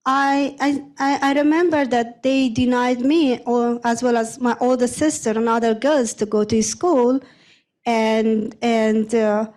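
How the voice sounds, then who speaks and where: Woman from Afghanistan, halting and earnest. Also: fairly high pitch, fairly even intonation, darkish tone. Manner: halting, many restarts and repeated words. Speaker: panel guest, former prosecutor. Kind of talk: panel discussion answer.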